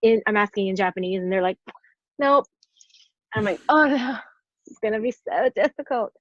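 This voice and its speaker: A woman's voice: medium pitch, normal speed, no echo. Chatty woman guest, dull dry sound. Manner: rambling storyteller, lots of "like" fillers. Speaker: podcast guest, stunt performer. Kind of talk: casual interview chat.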